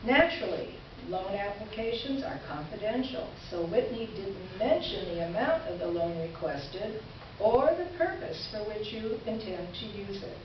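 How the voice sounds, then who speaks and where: A woman playing a wry, articulate columnist who reads her formal letters aloud in reader's theater with measured, pointed irony. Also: medium pitch, animated, lightly acted. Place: indoor live stage presentation. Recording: echoey room, distant mic, muffled, noisy.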